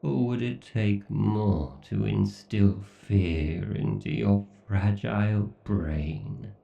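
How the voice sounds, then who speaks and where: A man voicing an arrogant, obsessed, sadistic AI god in a horror roleplay, pompous and menacing, with theatrical pauses. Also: lowish voice, vocal fry, warm tone, reverberant, distant mic, lo-fi sound, heavily acted. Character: obsessed, sadistic, arrogant godlike male AI villain. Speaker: voice actor. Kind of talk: horror ASMR roleplay monologue.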